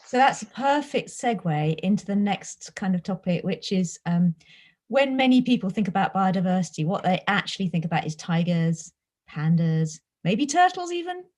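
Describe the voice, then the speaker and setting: A woman's voice: medium pitch, lively intonation, normal speed, a little mellow. A woman moderating an online panel discussion in a conversational way, handing questions to the speakers.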